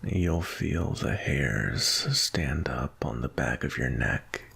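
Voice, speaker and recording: Seductive male vampire, played by a man, ASMR roleplay: deep, very husky half-whisper, tender and unhurried. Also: animated, a bit bright, exaggerated voice. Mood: soothing, seductive. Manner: slow, soothing, sensual, drawn-out. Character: seductive male vampire, gentle, attentive lover feeding.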